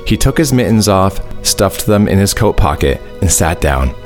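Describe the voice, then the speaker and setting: A man's voice: low voice, very animated, normal speed. A man narrating a radio drama from a script in a storytelling style. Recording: music behind the narration.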